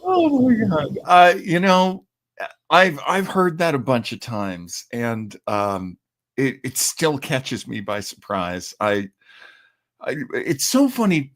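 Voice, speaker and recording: A man hosting a show with casual, amused, halting and enthusiastic commentary, close on the mic. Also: mid-range voice, very animated, no room sound. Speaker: show host.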